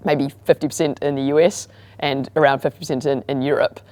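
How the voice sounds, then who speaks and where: A woman's voice: rumbling low, brisk, no room sound. A woman with a New Zealand accent, a startup founder talking easily on a discussion panel and often saying "like" and "I mean". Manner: relaxed and conversational, with frequent "like" and "I mean".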